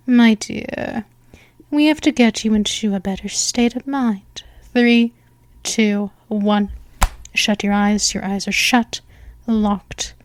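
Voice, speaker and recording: A woman playing a seductive vampire mistress in a hypnosis roleplay, speaking softly and slipping into whispers. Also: a little high, dry recording. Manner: slow, soft, hypnotic, with whispered stretches.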